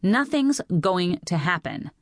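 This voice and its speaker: A woman's voice: a little high, very animated, moderate pace, no echo, clean studio sound, voice pushed up. A woman voicing a stubborn, indignant teenage girl in an audiobook, heated and insistent as she protests. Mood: angry, snapping. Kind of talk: audiobook character voice.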